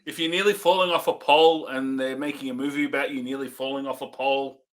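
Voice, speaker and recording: A man's voice: mid-range voice, steady tone, quick pace. A man co-hosting a casual review podcast, laughing often and throwing in dry jokes. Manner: laughs easily, dry joking asides. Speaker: podcast co-host.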